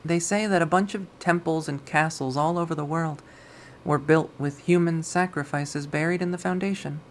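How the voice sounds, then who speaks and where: A narrator reading a visual novel aloud, quiet and measured, softly voicing the characters. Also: mid-range voice, fairly even intonation, a little hiss or hum.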